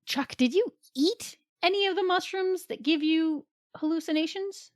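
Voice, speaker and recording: A woman's voice: extremely high pitch, moderate pace. A woman playing a curious, questioning gnome in a comedic audio skit.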